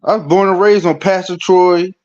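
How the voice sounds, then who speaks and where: A man's voice: high voice, steady tone, moderate pace, a little mellow. A man from Savannah, Georgia, joining a casual podcast chat in a laid-back way. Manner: laid-back, short interjections. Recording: muffled lo-fi sound.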